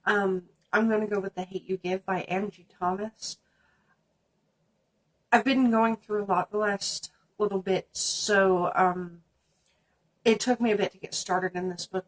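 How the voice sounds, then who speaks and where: A woman's voice: medium pitch, low-quality recording. Stuffy-nosed woman chatting about books. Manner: chatty, many ums, reading prompts aloud. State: stuffy from a sinus infection. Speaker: book vlogger. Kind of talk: casual book tag video.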